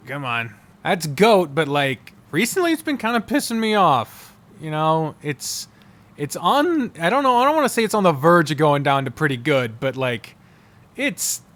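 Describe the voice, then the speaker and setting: A man giving casual, off-the-cuff ranking commentary in an animated way, speaking straight to the things being ranked and swearing freely. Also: fairly high pitch. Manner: animated, addresses the games directly, swears freely. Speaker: video host ranking visual novels.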